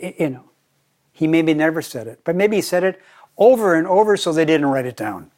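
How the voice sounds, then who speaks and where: Unhurried older male Zen teacher. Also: fairly high pitch, expressive, darkish tone. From United States. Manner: playful, slow and deliberate, repeats phrases. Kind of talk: dharma talk.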